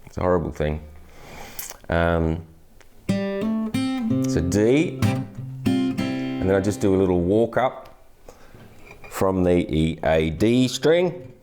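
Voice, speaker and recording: Male guitar teacher, very low voice, loose chatty delivery, soft guitar behind. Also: slow pace, pauses often. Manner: relaxed, chatty, many restarts and fillers. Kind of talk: casual guitar tutorial. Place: indoor studio, brick wall backdrop. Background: acoustic guitar playing.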